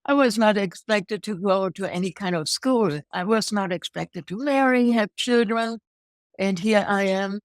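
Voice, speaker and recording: German-accented woman, sixties, mid-pitched, dry close sound, cheerful guest. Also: measured pace, no echo. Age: 60s. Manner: upbeat, laughs often. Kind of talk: interview chat.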